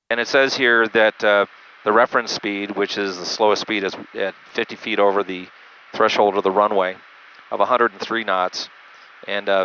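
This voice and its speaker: Male pilot, low even voice, patiently methodical and halting as he explains procedures, dull headset sound. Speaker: jet pilot. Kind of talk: unscripted instructional walkthrough. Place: small jet cockpit in flight. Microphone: aviation headset through intercom. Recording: muffled band-limited cockpit headset audio, engine noise.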